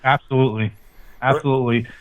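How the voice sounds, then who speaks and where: A man's voice: medium pitch, fairly even intonation, quick pace. A man chatting casually as a podcast guest, heard over a call. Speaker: podcast guest, network owner. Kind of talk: casual phone interview chat. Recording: dull lo-fi call audio.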